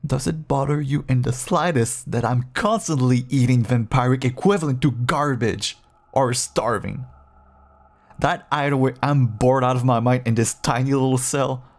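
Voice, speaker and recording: A man playing a caged feral vampire who pleads clingily and bitterly with an estranged partner in an intimate dramatic audio roleplay, his voice husky and gravelly. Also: medium pitch, normal speed, natural voice. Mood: aggrieved, complaining. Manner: clingy, pleading, swinging from hushed endearments to bitter outbursts.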